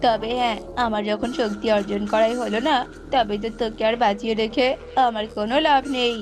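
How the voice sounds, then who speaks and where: A woman's voice: fairly high pitch, fairly even intonation, fairly quick, close to an everyday voice. A woman voicing a vengeful, power-hungry eagle demon in a cartoon, threatening and boastful. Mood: bitter, threatening. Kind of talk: cartoon voice acting.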